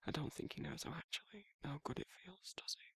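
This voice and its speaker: A man's voice: a little high, very animated, fast talker, a bit bright, no echo, clean studio sound, full character voice. A man playing a protective, awkward werewolf in an intimate ASMR roleplay, speaking breathily and hesitantly, often near a whisper. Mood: tender, confiding. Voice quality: husky, breathy, gravelly, often dropping to a whisper. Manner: hesitant, rambling, bashful, informal. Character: protective, awkward, soft-hearted male werewolf confiding in a friend.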